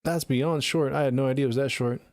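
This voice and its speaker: A man's voice: mid-range voice, steady tone, fast, a little mellow, no echo. Casual, slangy male streamer, dry close sound. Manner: laid-back slangy talk, addressing viewers as dude and gang. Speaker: livestream host reviewing submitted music. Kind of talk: casual livestream chat and music feedback.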